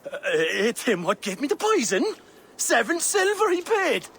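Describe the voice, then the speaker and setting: A man playing a frantic, pleading peasant in a video game, stammering in rustic speech with a gravelly voice. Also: very high-pitched, huge pitch swings, unhurried, a bit bright, heavily acted. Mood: panicked, pleading. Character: frantic, pleading male poisoner-accuser peasant.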